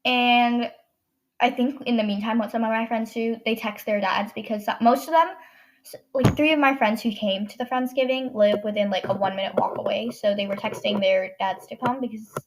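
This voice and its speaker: A woman's voice: on the high side, fairly even intonation, brisk, noisy background. Stuffy-nosed teen girl podcaster rambling. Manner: rambling, many fillers and self-corrections. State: stuffy, congested nose. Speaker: podcast host. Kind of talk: casual storytelling monologue.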